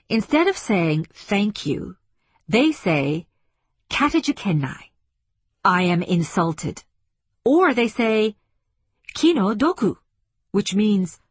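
A woman's voice: medium pitch, very animated, takes it slowly, long pauses, husky, voice pushed up, exaggerated voice. A passionate woman scientist arguing her theory across a briefing table, emphatic and swooping, in an audiobook acted by a woman narrator. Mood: insistent, lecturing. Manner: emphatic, lecturing. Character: passionate, combative female academic linguist.

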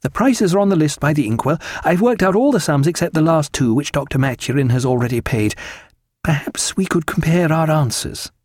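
Guy doing a calm precise lady reading out a list, higher pitch, measured, audiobook. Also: dry recording. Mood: methodical. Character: composed, methodical woman reading out a stores list.

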